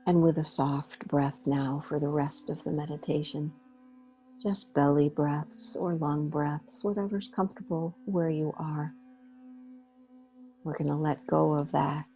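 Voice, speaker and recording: A woman leading a guided meditation in a gentle, unhurried way, pausing between short phrases. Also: sits low, steady tone. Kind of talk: guided meditation, slow soothing instruction.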